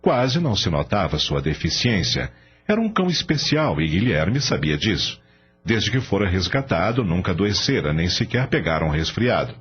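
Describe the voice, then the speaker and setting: A man narrating a radio drama in a measured, expressive storytelling style. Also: sits low, husky. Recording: dull lo-fi audio with music behind.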